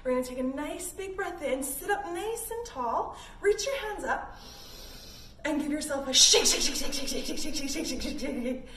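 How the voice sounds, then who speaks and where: High-voiced woman teaching kids, echoey and distant. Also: expressive, moderate pace, pushed and bright. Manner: simple, encouraging instructions addressed to young children. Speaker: children's yoga teacher reading a picture book aloud. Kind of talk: children's read-along lesson with guided yoga. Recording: echoey room, distant mic, background noise.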